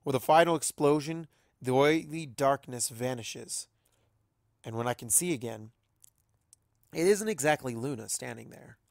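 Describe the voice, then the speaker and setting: A man narrates a fantasy story in a dramatic, scripted reading style. His pitch is medium, and the recording is close and dry. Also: normal speed, creaky, long pauses, no room sound. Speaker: amateur fan fiction reader.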